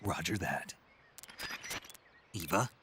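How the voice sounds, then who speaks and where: An actor playing a gruff, weary middle-aged male soldier in a video game, speaking tersely in a gravelly, husky voice. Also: slow, pushed and bright, slight background noise, heavily acted. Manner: terse, low-key, questioning.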